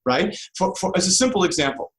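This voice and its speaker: A man's voice: high voice, animated, fast talker, fairly bright tone. A man speaking as an expert guest in an interview, explaining things informally and checking in with rhetorical questions. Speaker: interview guest, law professor.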